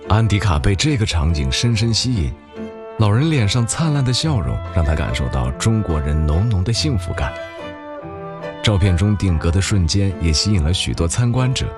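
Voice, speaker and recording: A man narrates a televised news feature in a low voice. His pitch moves expressively while he reads in a steady, measured way. Music plays underneath. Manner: measured, even-paced reading. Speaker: documentary narrator. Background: background music.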